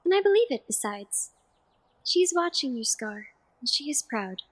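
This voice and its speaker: A woman acts out a whimsical young woman in an audio drama. Her voice sits high and swoops freely, with an airy, earnest sweetness. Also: very animated, moderate pace, breathy edge, heavily acted. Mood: tender, earnest. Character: gentle, dreamy, whimsical young woman, bride's sweet friend.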